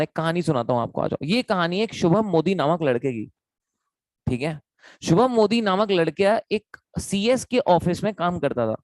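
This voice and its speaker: Young Indian male tutor, hoarse throat, chatty and repetitive, dry close recording. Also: on the high side, a little mellow, no room sound. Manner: chatty, repetitive, calls students beta. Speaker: online law tutor. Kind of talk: live online lecture.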